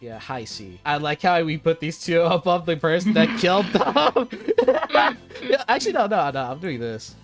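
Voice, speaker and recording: A man's voice: on the high side, huge pitch swings, normal speed. A young man co-hosting an anime ranking in casual banter, blunt and slangy, often stammering into his sentences. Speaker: co-host of an anime ranking show. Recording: music playing behind the voice.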